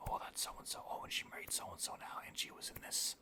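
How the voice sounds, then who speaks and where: A video host speaking in a breathy whisper, rambling casually through anecdotes with some swearing. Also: fairly quick.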